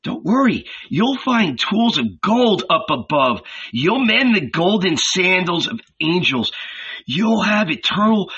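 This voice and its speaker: A man voicing an excited, persuasive male shepherd disciple who urgently pleads with a friend. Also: high-pitched, slightly rough, voice pushed up, exaggerated voice. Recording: dull lo-fi recording.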